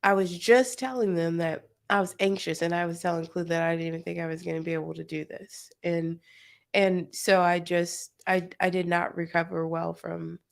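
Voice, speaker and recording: A woman co-hosting a casual podcast, talking candidly about her week in a soft-spoken way. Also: fairly low pitch, fairly even intonation, normal speed, gravelly. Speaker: podcast co-host.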